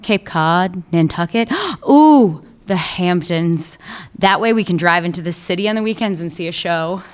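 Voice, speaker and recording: A woman's voice: fairly low pitch, unhurried, smooth, close to an everyday voice. A woman playing a playful, dreamy young lover in a flashback of a radio play. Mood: wistful. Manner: playful, wistful.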